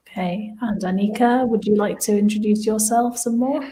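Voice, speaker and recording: A woman's voice: mid-range voice, steady tone, normal speed, warm tone, low-quality recording. A woman with an English accent co-hosting an online language lesson, using simple, plainly phrased sentences for learners. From Midlands of England. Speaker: English teacher, webinar co-host.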